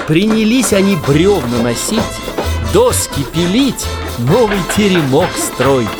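A man narrating a children's fairy tale in an expressive, theatrical storytelling style. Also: sits high, takes it slowly. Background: children's music.

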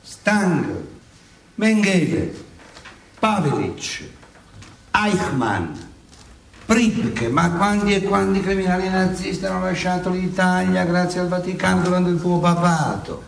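A man's voice: sits high, slow, gravelly, exaggerated voice. A man playing a boastful, needling friar saint in a satirical stage play, declaiming with sarcastic, mock-courtly flourishes. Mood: accusing, sarcastic. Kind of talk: theatrical satirical stage monologue and debate. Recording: echoey stage, distant mic, faint noise.

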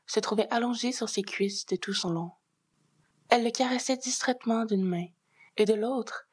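A woman's voice: medium pitch, slightly rough. A woman reading her own written story aloud as a scripted narration. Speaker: author and narrator.

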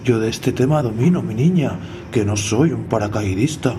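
A man's voice: medium pitch, normal speed, lightly acted. A man acting in a radio drama as a self-assured antimilitarist train passenger, speaking in an earnest, boastful, lecturing way. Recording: clearly noisy background.

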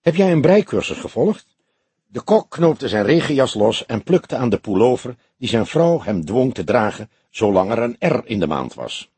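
A male narrator reads crime fiction with a very husky, breathy voice. His pitch is lively, and the sound is close and dry. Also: normal speed, no echo. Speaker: audiobook narrator. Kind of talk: scripted crime novel narration.

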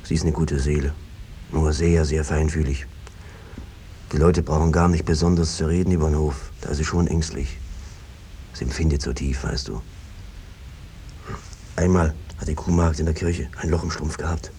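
An actor playing an evasive, henpecked peasant brother who talks around things in a cajoling, hesitant way, in a 1950s radio drama style. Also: male voice, rumbling low, fairly even intonation, moderate pace, long pauses, warm tone. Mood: evasive, uneasy. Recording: noisy old 1950s radio recording.

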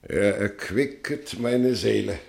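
Radio drama: anguished family man, low gravelly voice, solemn slow delivery. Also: darkish tone. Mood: anguished, fervent. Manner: solemn, halting. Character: grave, anguished husband and father, brooding. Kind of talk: German radio play acting.

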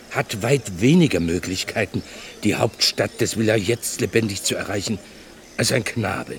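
An actor playing a sober, reasoning man at a war council in a German radio play, speaking in a measured, argumentative way. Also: on the low side, vocal fry, slight background noise.

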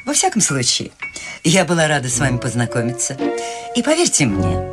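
An actress playing a cheerful, eccentric eighty-year-old countess who talks in a lively, chatty and warmly insistent way in a radio play. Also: female voice, rumbling low, brisk, music in the background, exaggerated voice. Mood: encouraging. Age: 80s (figure). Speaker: lead character.

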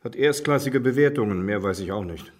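An actor playing a blunt, familiar police colleague in a German radio drama. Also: male voice, on the low side, expressive, brisk, a little mellow. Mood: matter-of-fact. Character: matter-of-fact male police colleague, bluntly chummy.

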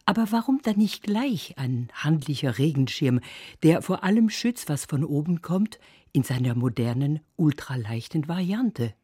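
Woman, animated intonation, dark husky timbre, scripted feature narration, very dry sound. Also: low-pitched, unhurried, no room sound. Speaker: documentary narrator.

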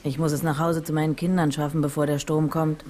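A woman's voice: low voice, little pitch movement, quick pace, darkish tone, a little hiss or hum, lightly acted. An actress playing a down-to-earth, matter-of-fact local woman in a crime radio drama. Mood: matter-of-fact, cautioning. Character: down-to-earth diner woman, matter-of-fact mother warning travellers.

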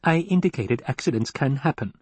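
A man's voice: animated, fairly quick, a little hiss or hum, close to an everyday voice. A man voicing a clever, supercilious male adviser in an audiobook, laying out schemes in precise, formal speech. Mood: sly, explanatory. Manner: precise, formal, higher-pitched exposition. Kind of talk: audiobook character acting.

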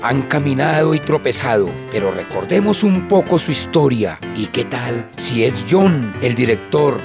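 An actor playing an old talking stilt who gathers children for stories in a children's radio drama, with a creaky, theatrical delivery. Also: mid-range voice, lively intonation, a little slow. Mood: grandfatherly, inviting. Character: old stilt puppet storyteller, proud and grandfatherly. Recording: music bed behind the voice.

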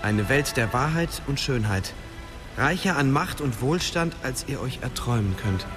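Music runs behind a mid-pitched young man's voice. A male actor plays a clever, self-assured hero in a fantasy radio drama. His delivery is confident and articulate. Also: animated, normal speed, natural voice. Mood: measured reading. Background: clear music.

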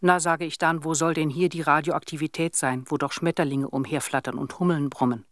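A woman's voice: low voice, steady tone, brisk, no echo, clean studio sound, natural voice. An older actress playing a lonely, sorrowful old village woman, telling her story plainly and reflectively in a radio drama monologue. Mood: lonely, musing.

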